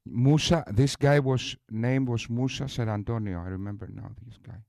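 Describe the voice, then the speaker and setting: Man, boastful rambler, restarts a lot, mid pitch, dry sound. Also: moderate pace, vocal fry, mellow, no echo. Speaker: streamer host. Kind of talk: casual live-stream talk.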